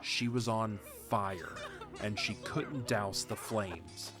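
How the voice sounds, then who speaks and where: A man narrating a fan audio drama in a steady, measured storytelling manner. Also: on the low side. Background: music.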